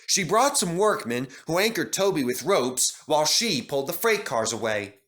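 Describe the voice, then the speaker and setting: Male storybook narrator; high, animated, expressive read. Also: normal speed.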